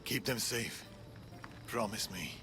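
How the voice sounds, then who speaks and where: A man's voice: fairly low pitch, takes it slowly. An actor playing a grave, protective older warrior and grandfather in a dramatic video game, intense and earnest. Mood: grave, pleading. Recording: loud music behind the voice.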